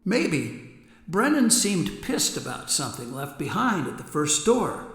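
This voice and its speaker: An echoing, playful male ghost in a radio drama. His voice is highish and swings up and down as he teases, deliberate and sly, washed in long reverb. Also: sing-song, a bit bright. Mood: wry, friendly. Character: playful male ghost, teasing, eavesdropping ally of an investigator. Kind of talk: contemporary radio drama acting. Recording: echoey hall-like reverb.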